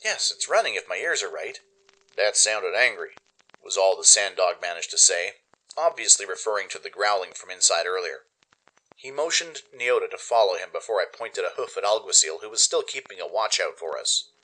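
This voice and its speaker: A man giving expressive, dramatic first-person narration for a radio play. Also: sits low, normal speed, poor audio. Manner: expressive storytelling. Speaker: narrator and producer.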